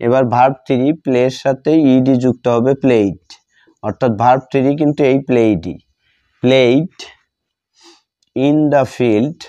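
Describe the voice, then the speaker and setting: A man with a Bengali accent teaching a grammar lesson step by step, halting and repeating phrases in short fragments as he writes. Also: mid-range voice, mellow. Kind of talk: step-by-step grammar lesson, spoken while writing. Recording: dull lo-fi sound.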